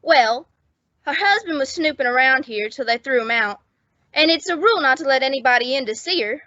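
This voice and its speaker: A woman's voice: high voice, lively intonation, a little fast, sharp tone, no room sound. A woman playing an eager, awkward, smitten young bellboy with a flustered, hesitant manner in old-time radio comedy style. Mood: eager, confiding. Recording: dull lo-fi close dry recording.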